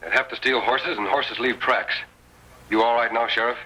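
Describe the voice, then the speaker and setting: A man's voice: mid-range voice, fairly even intonation, normal speed, sharp tone, slight background noise, close to an everyday voice, low-quality recording. An actor playing a decisive, heroic Western lawman in an old radio drama. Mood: brisk, resolute. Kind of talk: 1930s-40s Western radio acting. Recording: old-time radio recording.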